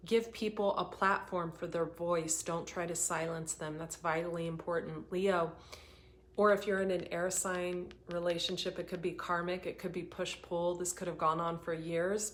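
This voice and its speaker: A woman hosting a live oracle card reading, talking in an earnest, rambling way, her voice getting hoarse. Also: on the low side, fairly bright tone, a little hiss or hum. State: voice going hoarse. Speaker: intuitive reader hosting a livestream.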